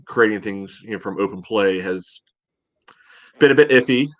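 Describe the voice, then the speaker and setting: A man with a low voice chats through match analysis as a podcast panelist. His sentences wander and are padded with frequent verbal tags, in a casual fan-talk style. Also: normal speed. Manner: meandering, frequent "you know".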